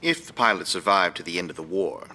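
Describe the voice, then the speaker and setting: An actor playing a proud, chivalrous fighter pilot speaking sternly and formally in a dramatic interview. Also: male voice, low voice, big pitch swings, fast, pushed and bright, a little hiss or hum, full character voice.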